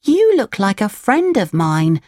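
Woman playing childlike puppet boy, high-pitched, earnest, anxious, pleading, swooping intonation, storybook character. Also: big pitch swings, unhurried, a little mellow, dry recording, studio quality. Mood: curious. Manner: pleading, wide-eyed questioning.

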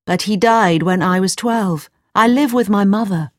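A woman voicing an eager, trusting teenage boy in a storybook, polite and animated. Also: mid-range voice, moderate pace, dry recording, clean studio sound, pitched above the performer's own voice, close to an everyday voice.

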